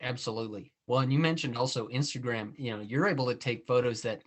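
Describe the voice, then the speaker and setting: A man's voice: mid-range voice, fast. A man hosting a podcast, chatting casually with lots of "you know" and "I mean". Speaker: podcast host. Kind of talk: casual interview chat.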